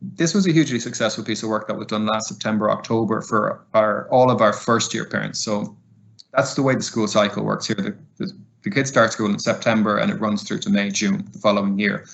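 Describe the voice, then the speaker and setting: A man's voice: on the low side, steady tone, brisk, creaky, a bit bright. A middle-aged man giving a conversational webinar presentation as a community liaison, often ending points with "right?" and sprinkling in fillers. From Ireland. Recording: muffled lo-fi webinar audio.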